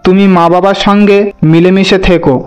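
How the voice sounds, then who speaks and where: A trusting, dutiful young husband from a cartoon story , acted by a man. His voice is rather high and very clear, with an earnest, gentle delivery. Light music runs underneath. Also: flat delivery, fairly quick, close to an everyday voice. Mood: reassuring. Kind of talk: Bengali moral-story cartoon voice acting.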